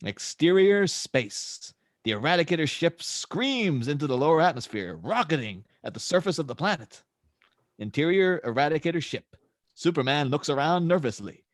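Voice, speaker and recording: A man's voice: on the high side, sing-song, moderate pace, no echo, studio quality. A man narrating scene descriptions and stage directions for a script table read.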